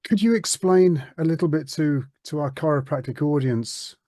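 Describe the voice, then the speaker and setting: A man's voice: fairly high pitch, normal speed, dark tone, dry recording, clean studio sound. A man hosting an interview, asking polite, friendly questions and giving brief prompts. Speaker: interviewer, host.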